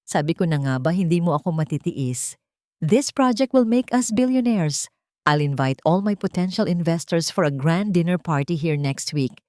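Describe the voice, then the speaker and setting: A woman's voice: lowish voice, animated, moderate pace, a little mellow, dry recording, studio quality, close to an everyday voice. A woman voicing a greedy, boastful husband hungry for status in a dramatic audio story. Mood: gloating.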